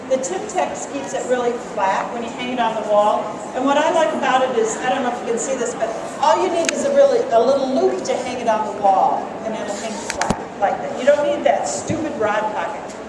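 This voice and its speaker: A middle-aged woman from Colorado talks about her quilts in a loose, self-deprecating way, pausing often on fillers. Her voice sits a little high. She is picked up from a distance in a very echoey show hall with loud noise all around. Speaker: quilt artist and teacher. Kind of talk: casual demonstration and interview.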